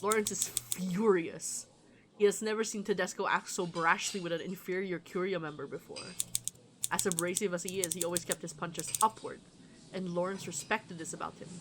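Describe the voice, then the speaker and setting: A woman's voice: on the low side, fairly even intonation, moderate pace, noisy background. A woman streaming a game, reading a visual novel aloud with casual, sweary, amused asides. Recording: music behind the voice.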